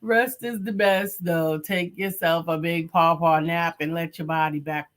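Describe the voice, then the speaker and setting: A woman's voice: fairly low pitch, steady tone, unhurried. Woman co-host suggesting home remedies. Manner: practical, offering home remedies. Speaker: co-host and coach. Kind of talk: casual live-stream chat.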